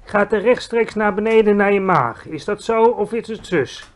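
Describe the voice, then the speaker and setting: A big, friendly, eccentric giant, put on by a male storyteller, who bellows his words and stretches them out slowly. He sounds high and lively for a man, exclaiming with muddled grammar as he explains his strange world. A read-aloud storybook voice. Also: natural voice. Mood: lecturing. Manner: mangled grammar, loud exclamations, drawn-out words.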